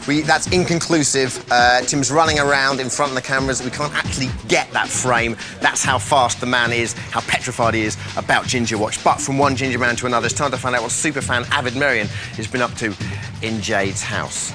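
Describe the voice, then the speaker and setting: A man presenting a comedy TV show, jokey and mock-serious in a deadpan way. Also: mid-range voice, brisk, over music.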